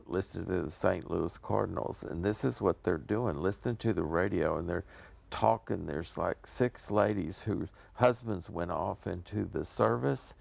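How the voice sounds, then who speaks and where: An older American man with a low, very creaky voice, giving a casual interview. He talks earnestly and wanders haltingly from thought to thought, in a close, dry recording. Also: no echo. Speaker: interview guest promoting a community theater show.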